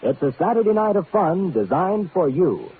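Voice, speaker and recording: Lively American old-time radio announcer man, tinny broadcast. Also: high voice, moderate pace. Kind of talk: 1940s radio announcing, promos and credits. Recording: narrow tinny vintage radio, faint music.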